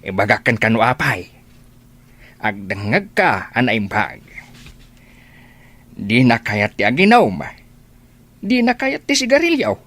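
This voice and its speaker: Man acting a husky, animated older Ilocano uncle. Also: mid-range voice, slow, pauses often, full character voice. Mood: gossipy, insistent. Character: older working-class uncle, gossipy and plain-spoken. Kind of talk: radio drama acting.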